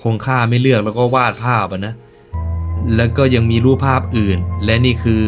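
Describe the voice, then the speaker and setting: Guy doing reaction commentary, average pitch, slangy n jokey, bit of music in the bg. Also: fairly even intonation, moderate pace. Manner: informal, slangy, joking asides. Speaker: YouTube reaction host. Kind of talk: casual reaction commentary with translated narration.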